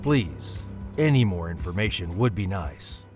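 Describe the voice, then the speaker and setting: An actor playing a composed, curt military guard following orders in a horror audio drama. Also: male voice, low-pitched, sing-song, measured pace, faint music behind. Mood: controlled. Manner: controlled, authoritative.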